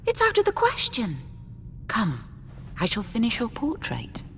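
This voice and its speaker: Slow, lilting young noblewoman. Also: a little high, huge pitch swings, full character voice. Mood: coaxing, hurt. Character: devoted young noblewoman bride, gentle and wistful. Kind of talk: video game cutscene acting.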